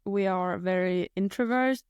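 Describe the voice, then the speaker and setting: Woman, twenties, Swedish accent, native Swedish, mid pitch, smooth voice, dry close mic, hesitant. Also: unhurried, a little mellow, no echo, highs cut off. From Sweden. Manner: hesitant, many fillers and restarts. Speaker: guest athlete. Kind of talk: casual interview chat.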